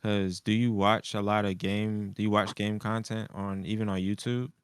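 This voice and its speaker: A man's voice: fairly low pitch, level tone, moderate pace, smooth, no echo, studio quality. A man co-hosting a casual chat, putting questions to the panel in an inquisitive way. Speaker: podcast co-host.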